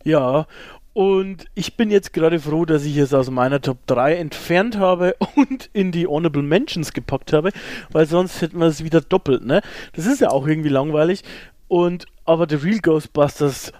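A man's voice: a little high, normal speed, a little mellow, no room sound, studio quality. A middle-aged man co-hosting a podcast, chatting casually in a joking, playful way. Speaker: podcast co-host. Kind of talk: casual chat.

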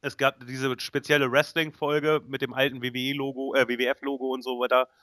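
A man with a mid-pitched voice and a German accent talks casually as a podcast co-host. The sound is dry, with no room around it, and the talk is nostalgic and conversational. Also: little pitch movement, fairly quick, bright, forceful tone, no room sound.

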